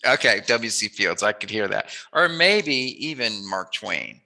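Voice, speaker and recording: A man with a fairly low, creaky voice and a very sharp, forceful edge hosts a casual chat. He muses and rambles playfully as he talks with his guest. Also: lively intonation, unhurried. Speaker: interview host.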